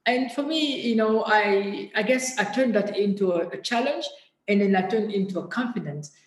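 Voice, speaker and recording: An interview guest speaking candidly and reflectively, often pausing to search for words. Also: medium pitch, echoey room, low-quality recording.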